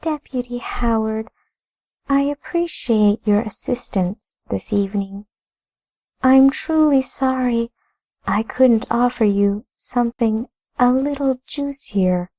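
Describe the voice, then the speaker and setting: A woman's voice: on the high side, takes it slowly, mellow, voice pushed up. A woman voicing a poised, scheming wife who speaks in a lilting, formal and cool manner. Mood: polite dismissal. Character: poised scheming wife and sister, grave and composed.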